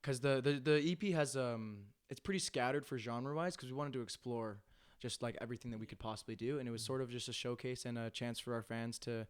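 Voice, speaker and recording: A man's voice: mid-range voice, fast talker, smooth. A young man, a band musician talking casually as an interview guest.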